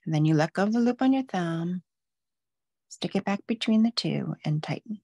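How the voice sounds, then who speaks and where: A woman's voice: on the low side, expressive, moderate pace, dark tone, no room sound. A woman patiently walking viewers step by step through knitting stitches in a live online demo. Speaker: knitting instructor.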